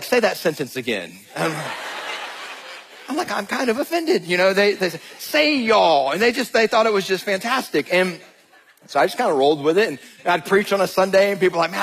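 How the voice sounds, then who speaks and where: A man with a Georgia drawl, preaching with a high-set, slightly husky voice. He tells his stories in an animated, joking way and calls the room "y'all." He sounds like a pastor in his thirties. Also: a little fast. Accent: Southern US (Georgia). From Georgia, US South. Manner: animated, jokey storytelling, addresses crowd as y'all. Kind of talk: sermon with storytelling.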